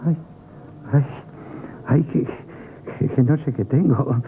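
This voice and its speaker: A man's voice: medium pitch, slow pace, natural voice. An actor from Spain playing a timid, emotional young shop errand boy in a radio stage comedy, stammering and exclamatory. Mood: overwhelmed with joy. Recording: tinny, narrow-band 1970s radio recording.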